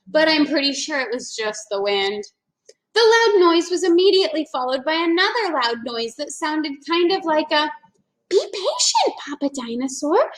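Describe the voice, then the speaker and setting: A woman teacher reading a picture book aloud with animated, playful storytelling, heard in an echoey room. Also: high-pitched.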